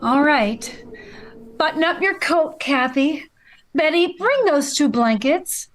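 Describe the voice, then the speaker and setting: A woman acting a gentle, practical but worried mother in a 1950s-style family radio comedy. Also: sits high, animated, slow.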